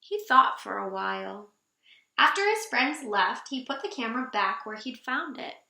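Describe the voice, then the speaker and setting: A woman reading a children's storybook aloud in an animated, expressive way for young listeners. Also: on the high side, normal speed, creaky, roomy sound.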